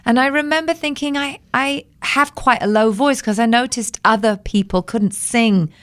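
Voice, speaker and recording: A woman's voice: fairly high pitch, normal speed, no room sound, studio quality. A woman singer being interviewed on the radio, reflective and telling anecdotes in a conversational way. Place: radio studio.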